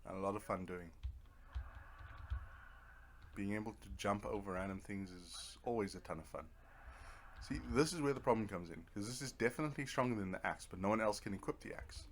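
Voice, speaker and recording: A man's voice: lowish voice, fairly quick, pauses often, a little hiss or hum. A man giving casual let's play commentary as a gaming video host, laid-back and muttering asides to himself.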